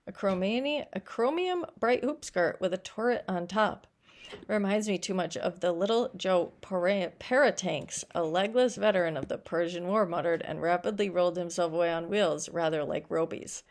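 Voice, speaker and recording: A woman's voice: fairly low pitch, moderate pace. A woman reading a short story aloud as a teacher, stumbling now and then over words and adding explanatory asides.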